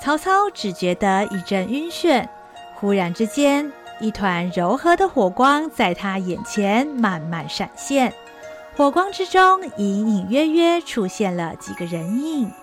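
A woman narrating a historical audio drama with a gentle, expressive, melodic delivery, then addressing listeners as host. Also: mid-range voice, measured pace, faint music behind. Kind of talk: scripted storytelling narration.

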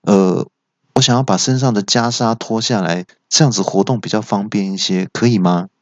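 A man voicing an unhurried, politely teasing monk and martial artist who starts hesitantly and speaks philosophically in a told story. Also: on the low side, a little mellow, no room sound, highs cut off, natural voice.

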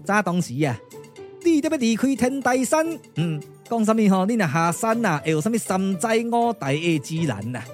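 A man's voice: sits high, very animated, moderate pace. A cheeky little comic sidekick in a Taiwanese puppet radio play. He chatters in a streetwise, boastful way with a Taiwanese accent, peppering everything with interjections, with music behind. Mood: breezy, boasting. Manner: chatty, colloquial, full of interjections. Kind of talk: Taiwanese puppet theatre radio drama acting.

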